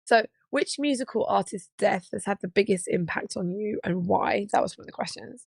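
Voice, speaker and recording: Slightly hoarse woman, giggly co-host. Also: medium pitch, moderate pace, crowd noise. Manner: chatty, giggly. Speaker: podcast co-host, touring musician. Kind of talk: casual live podcast chat.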